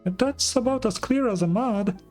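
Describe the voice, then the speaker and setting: A woman voicing a tiny, excitable, childish fairy companion in a video game, squeaky and cheeky. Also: unhurried, soft background music, close to an everyday voice. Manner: talks of herself in third person, pouty.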